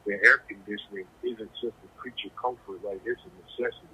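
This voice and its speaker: A man's voice: on the low side, steady tone, brisk. A man talking casually over a noisy prison phone line, reflective and plain-spoken, telling anecdotes as an interviewee. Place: correctional facility phone. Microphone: telephone handset.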